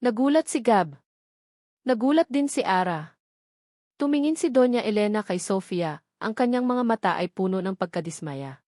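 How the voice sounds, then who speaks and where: A woman's voice: mid-range voice, animated, normal speed, pauses often, a little mellow, no echo, studio quality. A woman reading scripted narration of a dramatic love story.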